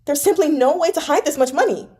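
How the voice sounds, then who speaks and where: A woman's voice: high-pitched, fast, dark tone, pitched above the performer's own voice, natural voice. A woman voicing a seething, cornered clan man in a dramatic novel read-aloud, ranting bitterly with exasperated, emphatic outbursts. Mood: seething, incredulous.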